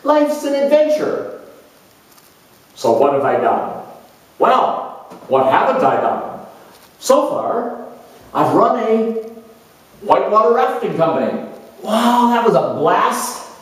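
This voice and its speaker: Exuberant free-spirited male adventurer, acted by a man, brash, rambling, unapologetic, high voice, distant echoey recording. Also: very animated, slow pace, long pauses, heavily acted. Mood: exuberant, defiant. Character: exuberant, reckless, boastful free-spirited adventurer who never grows up. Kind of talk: solo dramatized monologue. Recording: echoey room, mic at a distance.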